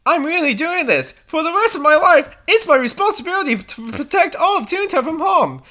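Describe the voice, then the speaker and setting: A woman doing a dramatic, high-pitched teenage princess. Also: big pitch swings, fairly quick, pushed and bright, exaggerated voice. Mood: earnest, nervous. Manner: theatrical, exclamatory. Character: anxious, bossy fourteen-year-old princess, dramatic and imperious.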